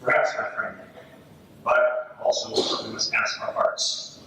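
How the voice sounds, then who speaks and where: A man's voice: slow pace, bright, forceful tone. A man voicing a weary, pleading older German soldier in a readers theater performance. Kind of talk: readers theater character quote. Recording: very echoey room, distant mic, faint noise.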